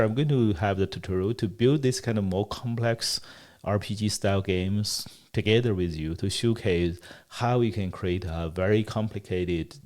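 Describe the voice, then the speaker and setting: A man whose first language is Chinese, walking through a software demo in a tutorial, explaining step by step with frequent pauses and self-corrections. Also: deep voice. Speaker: developer giving a product demo. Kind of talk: screen-recorded tutorial walkthrough.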